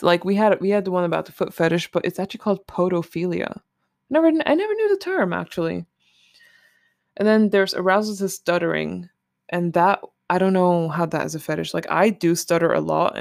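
Casual creaky-voiced host, close and dry. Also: mid-range voice, dry recording. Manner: candid, informal, swearing. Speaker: solo podcast host. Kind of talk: casual rambling chat, commenting on a list.

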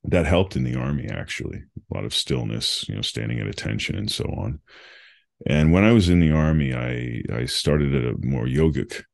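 Deep, fry-heavy male podcast guest, middle-aged, thoughtful storyteller. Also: lively intonation, normal speed. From New England. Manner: thoughtful, rambling, with frequent fillers and laughs. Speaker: podcast guest, meditation coach. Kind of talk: reflective conversational storytelling.

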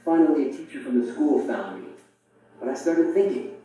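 An actress playing a defiant, scornful young unbeliever in an audio drama, arguing and mocking. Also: female voice, sits low, expressive, moderate pace, warm tone. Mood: bitter, confiding. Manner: argumentative, mocking. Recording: very echoey playback from far off.

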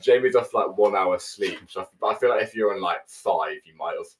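A young man, co-hosting a podcast, giggly and quick to laugh along. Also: low voice, a little hiss or hum. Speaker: podcast co-host. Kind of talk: casual chat.

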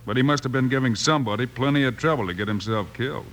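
An actor playing a cool, resolute frontier marshal with an American accent, terse and commanding, in a 1950s radio western. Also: male voice, mid-range voice, quick pace, a bit bright, close to an everyday voice. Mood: calm, determined. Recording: noisy old-time radio recording.

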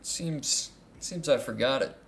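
A man's voice: mid-range voice, takes it slowly, gravelly, fairly bright tone. A man co-hosting a casual comedy podcast, making dry, offhand remarks.

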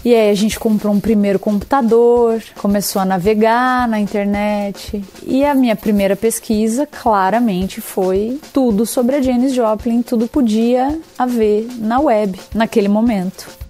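Lively Brazilian woman telling her story. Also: on the high side, unhurried. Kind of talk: casual storytelling.

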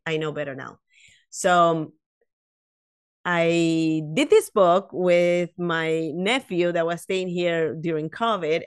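A woman in her fifties, not a native English speaker, chatting as a guest on a podcast and telling stories with many restarts and asides. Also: lowish voice. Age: 50s. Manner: animated storyteller, frequent restarts and "you know". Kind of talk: casual interview chat.

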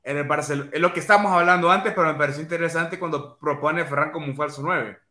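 A man's voice: a little high, steady tone, fast, sharp tone, echoey room. A man hosting a live football podcast, reading out viewer questions in a friendly way. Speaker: podcast host.

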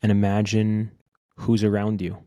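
A man with a deep, low-pitched voice guides a meditation. His delivery is gentle and unhurried, with little rise and fall, and he leaves long pauses between short phrases. The recording is close and dry. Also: monotone, no room sound. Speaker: podcast host guiding a meditation.

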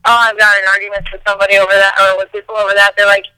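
Hoarse-voiced woman in casual chat. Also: mid-range voice, little pitch movement, rapid-fire, sharp tone, dry recording, rough recording. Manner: mumbles, talks in loose restarts. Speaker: singer and guest.

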